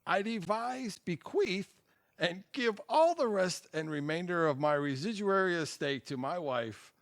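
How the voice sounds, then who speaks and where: A man playing a formal, apologetic family lawyer in an audio drama, speaking in measured, polite phrases. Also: sits high, expressive, fairly bright tone, no echo, clean studio sound, heavily acted. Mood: solemn. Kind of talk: audio theatre acting.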